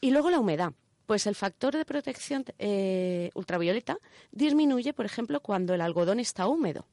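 A woman's voice: medium pitch, lively intonation, moderate pace, warm tone, dry recording. Spanish woman explaining casually, dry close sound. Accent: Spain. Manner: colloquial, checks in with listeners using short tag questions. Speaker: radio show presenter of a science segment. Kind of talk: casual explanatory radio chat.

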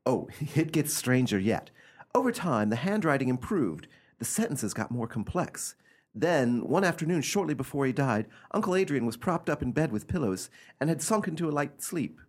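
A man playing an eccentric gentleman who recounts an uncanny family tale in a theatrical, emphatic radio drama style. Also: mid-range voice, very animated, normal speed. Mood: intrigued, ominous.

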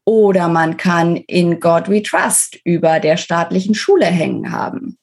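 A woman's voice: on the low side, animated, unhurried, vocal fry, darkish tone, breathy edge. A woman giving articulate explanations as an interview guest, stressing key words. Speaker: interview guest, book author. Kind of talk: explanatory interview talk on a podcast.

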